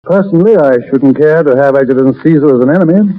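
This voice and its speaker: A man acting a suave, worldly gentleman in a 1930s radio drama, urbane, obliging and unhurried. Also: a little high, close to an everyday voice. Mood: urbane, amused. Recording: muffled, narrowband 1930s radio broadcast.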